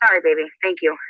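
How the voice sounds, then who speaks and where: A man's voice: high-pitched, no room sound. A man chatting casually on a livestream, offering his opinion in everyday words. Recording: tinny phone-like played stream audio.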